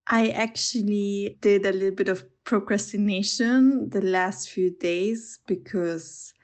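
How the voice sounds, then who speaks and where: Slow, measured woman in her twenties. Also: medium pitch, steady tone, darkish tone. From Austria. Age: 20s. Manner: thoughtful, measured, searching for words. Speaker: podcast guest. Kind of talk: reflective personal monologue.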